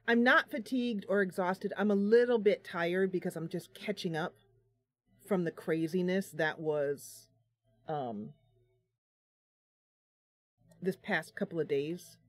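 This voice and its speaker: A woman's voice: medium pitch, no room sound, studio quality. A woman hosting a live stream, chatting casually with viewers in a bubbly, talkative way. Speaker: live stream host, gamer.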